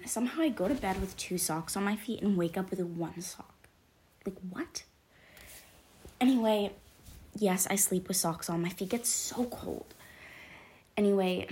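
Sniffly teen girl chatting to camera. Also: fairly low pitch. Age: late teens. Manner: chatty, rambling, lots of ums and likes. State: sniffly from allergies. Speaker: vlogger. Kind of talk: confessional casual vlog.

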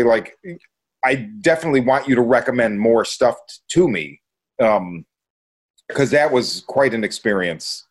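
A man with an average-pitched voice hosts a casual podcast. He talks conversationally, as in an informal chat among co-hosts. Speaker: podcast host.

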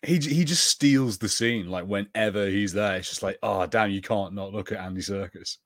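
A man's voice: on the low side, moderate pace, fairly bright tone, dry recording, studio quality. A man co-hosting a podcast, chatting casually and enthusiastically in a review. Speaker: podcast co-host.

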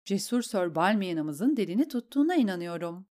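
A woman's voice: mid-range voice, very animated, brisk, a little mellow, natural voice. A woman voicing a cold, commanding queen who speaks in an imperious, cutting way. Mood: scornful.

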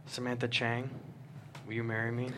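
A man's voice: medium pitch, monotone, normal speed, sharp tone, a little hiss or hum, natural voice. A man playing an earnest, devoted cop in love at a script table read. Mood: earnest, tender.

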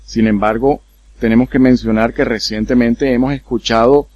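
A man from the Dominican Republic, with a Dominican accent, interviewing a guest with polite, measured questions. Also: mid-range voice, steady tone, darkish tone, no room sound. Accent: Dominican Spanish. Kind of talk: radio interview.

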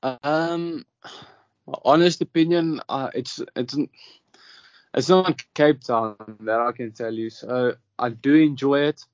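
A man's voice: medium pitch, slow pace, dry recording. A young South African man whose first language is Afrikaans, a golfer answering interview questions hesitantly, with many ums and restarts. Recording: dull, dry, lo-fi sound.

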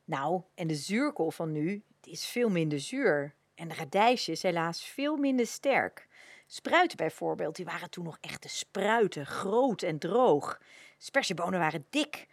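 A woman's voice: lowish voice, lively intonation, unhurried, dry recording. A woman playing a nostalgic older woman who reminisces chattily and colloquially about childhood in a radio play. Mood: fond nostalgia.

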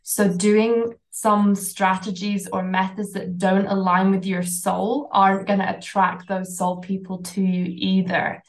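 A Scottish woman chats reflectively as a podcast guest. She talks at an unhurried pace with very little pitch movement. Her tone is calm and measured throughout. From Scotland. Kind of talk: casual podcast chat.

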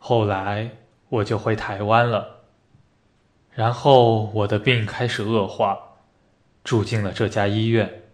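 A man acting a dying hospital patient in a radio play, calm, resigned and reflective as he speaks quietly. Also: on the low side. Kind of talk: amateur radio drama reading of a stage play.

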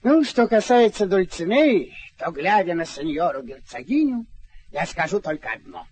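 An actor in a radio play voicing a plump, cheeky peasant squire with folksy, blunt chatter and a husky voice. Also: male voice, high-pitched, big pitch swings, normal speed, a little mellow, heavily acted. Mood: flattering, eager. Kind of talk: theatrical radio drama acting.

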